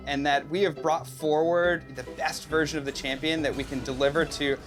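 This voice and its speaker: A man's voice: fairly high pitch, fairly even intonation, moderate pace. A man speaking as a game developer, explaining design choices in a conversational promotional interview style. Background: music.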